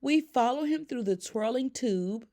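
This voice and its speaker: Storybook role: boastful, scheming male plush toy, played by a woman, excitable and theatrical, with big pitch swings. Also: measured pace. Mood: gleeful plotting.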